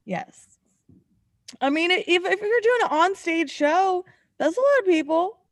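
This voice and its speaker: A woman chatting casually as a podcast host, animated and giggly, with some casual swearing. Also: very high voice, measured pace, dry recording. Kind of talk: casual chat.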